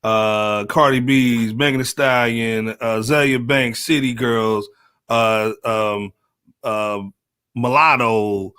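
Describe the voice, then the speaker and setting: Insistent American man with average pitch. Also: steady tone, slow, fairly bright tone, clear, resonant tone. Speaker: podcast panelist. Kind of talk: casual panel debate.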